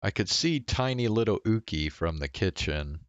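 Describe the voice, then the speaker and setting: A man's voice: lowish voice, expressive, normal speed, dry recording, dull audio. A man reading visual novel narration aloud in a dramatic first-person storytelling style. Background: faint game music.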